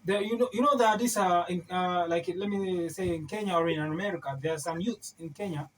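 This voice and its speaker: A male interviewer asking questions haltingly, with many fillers and restarts. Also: high-pitched, fairly bright tone, poor audio. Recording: crowd noise behind the voice. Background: crowd noise.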